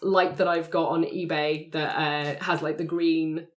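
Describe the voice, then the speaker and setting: Low-voiced woman improvising a story. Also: little pitch movement, unhurried. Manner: playful, informal, thinking aloud. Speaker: player in a roleplay podcast. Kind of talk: improvised scene description, casual chat.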